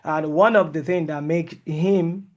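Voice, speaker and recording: A man's voice: a little high, fairly even intonation, unhurried, a little mellow. A man teaching an online Sharia lesson, who talks with many fillers, mixes in Arabic terms and speaks English as a second language. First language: not English. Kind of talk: online lecture with live Q&A.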